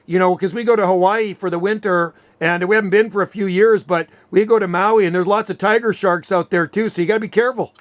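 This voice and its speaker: A man's voice: high-pitched, fairly even intonation, quick pace, few pauses, a little hiss or hum. A Canadian man in a casual, chatty radio conversation, explaining things as he goes. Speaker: amateur radio operator, host.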